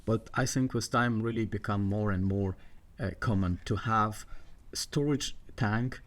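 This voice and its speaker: A middle-aged man from Egypt, a guest on a podcast, speaking with many fillers and restarts. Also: lowish voice. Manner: halting, many fillers and restarts, non-native grammar. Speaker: water management guest. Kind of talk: podcast interview.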